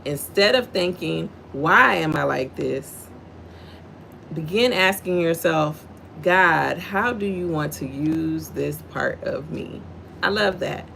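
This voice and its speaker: A middle-aged woman from Houston, Texas, with a deep voice and a Southern drawl, hosting her own livestream. Her voice has a gravelly, fry-laced texture. She talks low and slow, moving between reading a devotional aloud and greeting her viewers by name. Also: fairly bright tone, a little hiss or hum. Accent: Southern US, Texan. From Houston, Texas, USA. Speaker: livestream host. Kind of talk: devotional reading and casual chat with live viewers.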